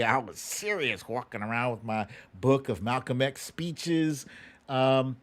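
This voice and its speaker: A man's voice: on the low side, dry recording. A middle-aged American man hosting a political livestream, talking casually in an informal, slangy, plain-spoken way and chatting with viewers. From United States. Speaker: host of a political commentary livestream. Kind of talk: casual livestream commentary, taking viewer questions.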